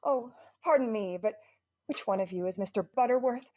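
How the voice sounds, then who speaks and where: A woman's voice: medium pitch, normal speed, mellow, a little hiss or hum, close to an everyday voice. An actress playing a gushing, starstruck young female fan in an old-time radio comedy recreation. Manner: breathless gushing delivery.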